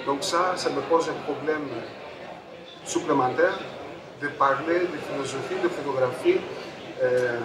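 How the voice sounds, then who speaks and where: A native Greek man with a Greek accent giving a public talk, halting and repeating his phrases. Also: a little high. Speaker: photographer and panel speaker. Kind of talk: public talk at a book fair, introducing a debate. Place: busy book fair stand. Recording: echoey, distant mic, crowd noise.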